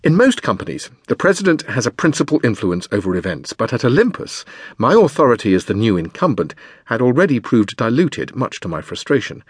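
Guy reading an audiobook, lowish slightly husky voice, measured pacing, really dry close sound. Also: very animated, no room sound. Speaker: audiobook narrator. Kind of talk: scripted memoir narration.

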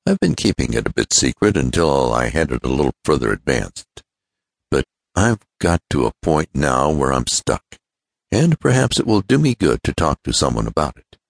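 Man voicing an earnest young inventor, lively pitch. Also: normal speed, gravelly, dry recording, full character voice. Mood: confiding. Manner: explanatory, keen. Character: earnest, confident young male inventor, absorbed in his machine.

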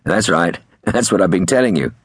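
A man's voice: deep voice, lively intonation, a little fast, slightly rough, no room sound, studio quality, heavily acted. A man voicing an unsuspecting, hesitant and patient man who politely answers prank calls in a storybook. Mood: patient, baffled. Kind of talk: acted storybook dialogue.

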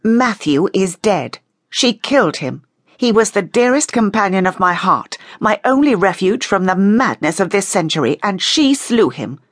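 A woman's voice: mid-range voice, very animated, normal speed, dry recording, studio quality, voice pushed up. A woman voicing a hard, hateful, grieving male vampire in an audiobook, with grave and formal phrasing. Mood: grieving hatred. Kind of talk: audiobook character dialogue.

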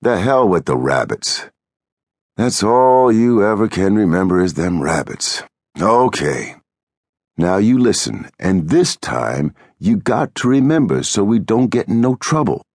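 Man playing a gruff, irritable little drifter. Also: fairly low pitch, very animated, measured pace, darkish tone, no room sound, clean studio sound, voice pushed up, exaggerated voice. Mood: exasperated. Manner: gruff, scolding, folksy rural American speech. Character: small, sharp, irritable American drifter, weary and bossy.

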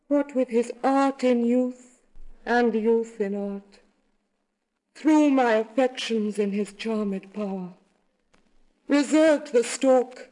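Slow, sorrowful young maiden. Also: female voice, mid-range voice, dark tone, low-quality recording. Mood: mournful, regretful. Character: sorrowful jilted young maiden, worn by grief. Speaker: actor reading a narrative poem. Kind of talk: classical verse recitation.

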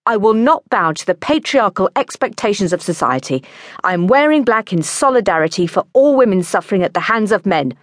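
A woman narrator acts out a defiant, headstrong bride in a comic memoir audiobook. The voice rises high above plain narration, snappish and declamatory, stubbornly refusing to give way. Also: big pitch swings, brisk, no echo, studio quality, natural voice. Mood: snapping defiance.

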